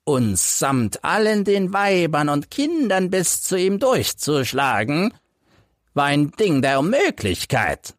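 Casting: male narrator as a crippled old veteran, bitter and emphatic, slow storybook delivery with swinging pitch. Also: big pitch swings, no room sound, clean studio sound. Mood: grave recounting. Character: embittered hunchbacked old ex-soldier telling his life story.

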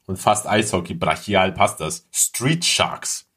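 A man's voice: sits low, lively intonation, slow, fairly bright tone, breathy edge. A man chatting casually as a podcast panelist, enthusiastic and slangy. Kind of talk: casual chat.

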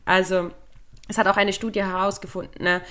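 A woman co-hosting a podcast, explaining things in a relaxed chat and laughing at her own jokes. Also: mid-range voice, a little fast. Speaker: podcast co-host. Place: living in London.

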